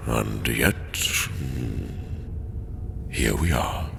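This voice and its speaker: A man playing a wry, knowing cosmic being with a gravelly voice and theatrical, deliberate delivery in a science-fiction audio drama. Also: a bass voice, animated, noisy background, full character voice. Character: ancient-seeming cosmic entity, wry, knowing, patient. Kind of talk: sci-fi audio drama acting.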